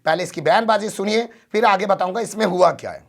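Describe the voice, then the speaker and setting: A man's voice: high-pitched, fast talker, gravelly, a crowd in the background. A man presenting news commentary in an emphatic way, posing rhetorical questions as he goes.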